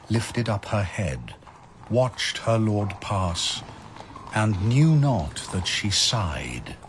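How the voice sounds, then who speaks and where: A man giving solemn, theatrical, measured narration of epic verse over atmospheric sound effects. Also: on the low side. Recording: clear ambient noise behind narration.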